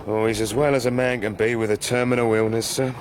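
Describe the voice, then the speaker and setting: An actor playing a sly manservant in a period radio drama, wry and deferential, like a knowing gossip. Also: male voice, mid-range voice, steady tone, fast talker, background noise, lightly acted.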